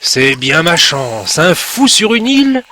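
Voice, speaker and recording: A man's voice: on the high side, very animated, slow, sharp tone, slight background noise, pitched above the performer's own voice, heavily acted. A man voicing a grumpy, scornful male crab in a storybook, grumbling and mocking.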